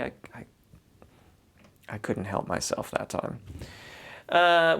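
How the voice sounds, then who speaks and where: A man's voice: on the high side, creaky. A man who teaches voice, reading a viewer's question aloud and then giving practical advice, often restarting his sentences. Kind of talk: instructional talk to camera.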